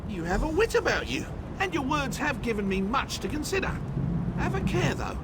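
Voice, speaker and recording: An ancient Wood Elf councillor in a fantasy game. His voice sits high for a man and swings widely in pitch, formal and lofty, under loud ambient noise. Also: big pitch swings, moderate pace. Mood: thoughtful. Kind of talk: video game quest dialogue.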